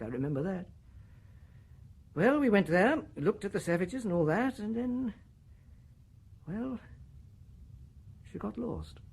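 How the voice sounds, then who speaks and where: An actor playing a stern, pompous middle-aged male director in a radio drama, husky and formal. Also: a little high, slight background noise. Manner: reproving, then drifting into a hushed memory. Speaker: Director, a superior official. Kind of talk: 1990s radio drama acting.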